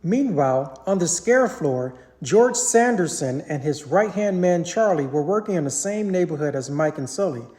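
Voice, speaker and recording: A parent reading a children's story aloud in an animated storybook narration style. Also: medium pitch, normal speed, few pauses.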